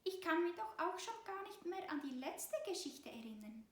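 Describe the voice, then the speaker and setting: A woman voicing a very young, eager girl mouse who begs excitedly in a children's story. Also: normal speed, echoey room. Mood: pleading. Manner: begging, excited, squeaky.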